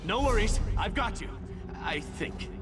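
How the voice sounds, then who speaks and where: An actor playing an urgent, wry adventurer in the thick of a fantasy video game battle. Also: male voice, high-pitched, lively intonation, measured pace, loud music behind the voice. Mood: reassuring. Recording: loud game battle noise behind.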